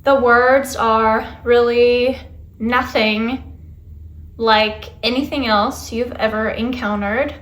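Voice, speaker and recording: A woman's voice: a little high, fairly even intonation, takes it slowly, a bit bright. A young woman vlogging to camera in a casual, chatty Q&A, speaking English that is not her first language, reflective and easygoing. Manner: chatty, reflective, with little laughs. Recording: echoey room.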